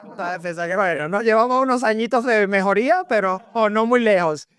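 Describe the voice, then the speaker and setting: A man from Cuba, an accountant guest on a podcast, talking in a lively way with rhetorical questions and sayings. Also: high voice, brisk, clean tone, no echo, clean studio sound. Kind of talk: conversational podcast interview.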